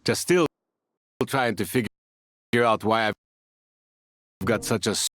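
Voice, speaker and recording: A man playing a weathered, kindly desert band leader in a game, speaking in a folksy, fatherly and unhurried way. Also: lowish voice, fairly bright tone, slight background noise. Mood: warm, amused. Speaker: voice actor in a game. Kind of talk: dramatic game voice acting.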